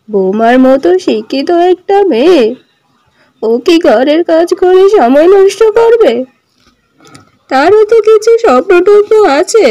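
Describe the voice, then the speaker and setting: An older village mother-in-law, acted by a woman, speaks in a high but softly rounded voice. She is indignant, protective and sharp-tongued, scolding in emphatic cartoon dialogue. The sound is close and dry. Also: no room sound, exaggerated voice, rough recording.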